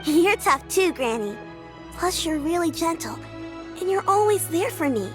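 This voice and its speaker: A woman's voice: extremely high pitch, very animated, a little slow, music in the background, exaggerated voice. A woman voicing a sweet, resilient young girl in a video game, earnest, polite and a little hesitant. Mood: tender, brave.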